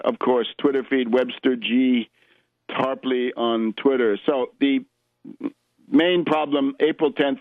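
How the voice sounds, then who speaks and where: A man's voice: medium pitch, no echo. Man hosting political talk radio, dull lo-fi sound. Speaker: political radio show host.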